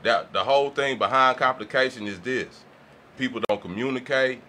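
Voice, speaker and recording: Casting: man for blunt colloquial panel chat, bright forceful tone, slightly noisy room. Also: mid-range voice, moderate pace. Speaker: podcast panelist. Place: small indoor podcast room.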